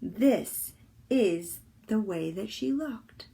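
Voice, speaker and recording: A woman reading a children's book aloud as a volunteer, with expressive, deliberate storytelling. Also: medium pitch, vocal fry.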